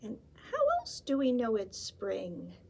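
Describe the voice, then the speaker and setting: A woman's voice: mid-range voice, huge pitch swings, slow, vocal fry, darkish tone, a little hiss or hum, full character voice. A woman voicing a tiny cheerful young mouse who keeps asking eager questions.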